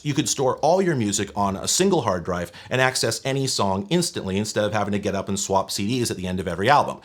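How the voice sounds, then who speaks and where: A man in his thirties hosting a tech history video, reading scripted narration in a conversational way with wry, casual asides. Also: fairly low pitch. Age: 30s. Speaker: host of a tech history show.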